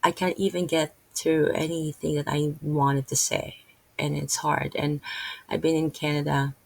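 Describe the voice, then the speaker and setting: A middle-aged woman with a Filipino accent, talking casually and haltingly to her channel with many restarts. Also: deep voice, little pitch movement, gravelly, darkish tone, poor audio. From Philippines. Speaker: channel host. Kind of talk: casual unscripted video talk.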